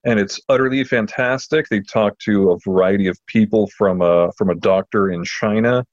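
Lowish voiced guy podcasting, casual n into it, stumbles over words, kinda muffled roomy audio. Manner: enthusiastic, halting delivery with repeated words. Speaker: podcast host. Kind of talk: casual chat.

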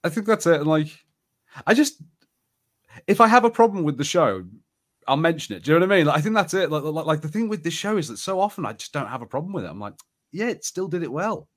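A man's voice: on the high side, lively intonation, quick pace, fairly bright tone. A man co-hosting a podcast, chatting casually about TV shows in a rambling, conversational way with plenty of "like". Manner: rambling and conversational, heavy use of "like". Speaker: podcast co-host.

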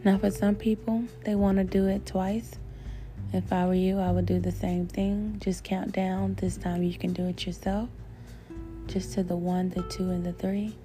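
A woman's voice: mid-range voice, flat delivery, moderate pace. A woman hosting a podcast in a casual, encouraging way, often speaking of faith and gratitude to her listeners. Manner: warm, encouraging, spiritual, talks to listeners as "my babies". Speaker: podcast host. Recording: faint guitar music behind.

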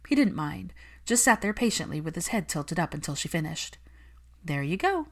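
Woman, story narrator, scripted reading, measured delivery, highly varied melody, lower-leaning pitch. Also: lively intonation, gravelly, breathy edge. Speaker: fan fiction audiobook narrator.

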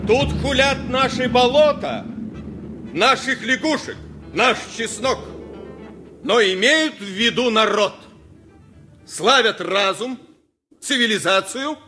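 A fervent, bombastic nationalist rabble-rouser in a radio drama. The speech is slow and sharp, sweeping up and down over faint music. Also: male voice, extremely high pitch, full character voice. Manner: bombastic, declamatory. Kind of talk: 1980s radio play oratory.

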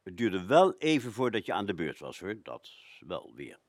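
A man's voice: low-pitched, sing-song, normal speed, dry recording, studio quality, exaggerated voice. An actor playing a nostalgic older man recalling a frugal childhood, musing expressively and colloquially in a radio play. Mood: fond nostalgia.

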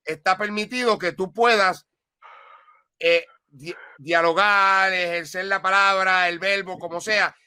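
A man's voice: high-pitched, fairly even intonation, a little slow, pushed and bright, clean tone, no echo, clean studio sound. A man, co-hosting a casual talk and explaining things emphatically, repeating words as he goes. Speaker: podcast co-host. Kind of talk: casual chat.

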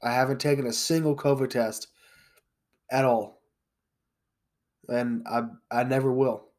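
A man's voice: medium pitch, steady tone, a little slow, a little mellow. A man hosting a podcast, talking bluntly and a little congested from a recent cold. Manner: blunt, opinionated, with fillers. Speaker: podcast host. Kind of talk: casual podcast monologue.

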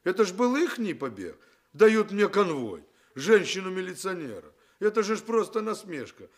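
An actor playing a roguish young thief in a radio play, colloquial and wheedling. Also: male voice, sits high, normal speed. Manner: wheedling, colloquial, theatrically expressive. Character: roguish young male Odessa thief, cheeky yet pleading. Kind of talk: Soviet radio play acting.

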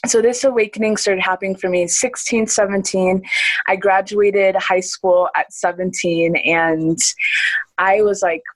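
A woman's voice: medium pitch, fairly even intonation, unhurried, no echo, low-quality recording. A woman in her early twenties, an interview guest talking earnestly and enthusiastically, laughing often and restarting her sentences. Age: young adult. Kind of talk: casual conversational interview.

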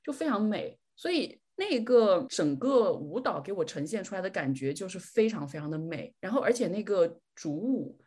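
A woman chats casually as a podcast co-host. Her voice sits at a middle pitch and has a lightly husky edge. The delivery is informal and conversational. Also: a little mellow.

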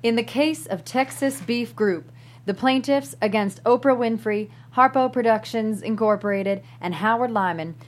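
A woman's voice: a little high, moderate pace, natural voice. A woman playing a formal courtroom judge, reading out official wording in a script table read. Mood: formal, solemn.

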